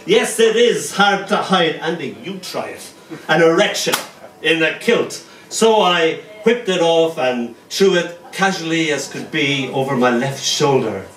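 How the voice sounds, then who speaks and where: Male poet from Ireland, fairly high voice, joking asides and slow tender recitation, recorded from a distance in a roomy cafe. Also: animated, a bit bright. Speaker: poet reading at an open mic. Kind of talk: poetry reading with banter. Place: small cafe venue with live audience. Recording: roomy, distant, faint noise.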